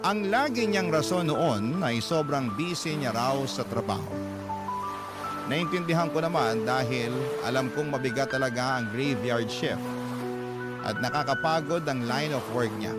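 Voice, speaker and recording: A man's voice: medium pitch, lightly acted. A man with a Filipino accent playing a hurt, wistful letter writer narrating a love story in a radio drama. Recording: loud music under studio narration.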